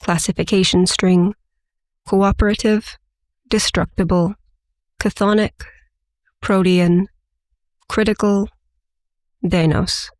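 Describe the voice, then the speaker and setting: A businesslike female agent, voiced by an actress, speaks in a measured, official manner with a trace of weariness. Her mid-pitched voice sits close and dry, in the style of a scripted audio drama interrogation. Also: steady tone, a little mellow, no room sound, lightly acted. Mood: formal, detached.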